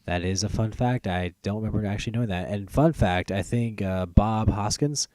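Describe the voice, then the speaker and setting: A man with a fairly low, very mellow voice hosts a casual podcast. He banters about films with teasing opinions, recorded close and very dry. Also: brisk, no echo. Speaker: podcast host.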